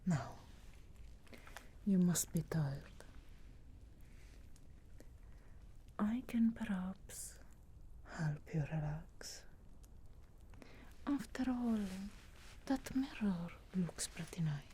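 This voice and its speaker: A woman playing a sharp-tongued, teasing young witch in a soft, whispered ASMR roleplay, speaking intimately and in measured phrases close to the microphone. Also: on the low side, animated, breathy edge, heavily acted. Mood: tender, protective. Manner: slow, intimate, measured delivery with long pauses.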